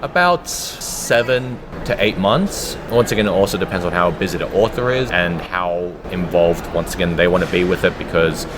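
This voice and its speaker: A man's voice: sits low, expressive, normal speed, few pauses, background noise. A man giving conversational, explanatory answers as an audiobook producer being interviewed.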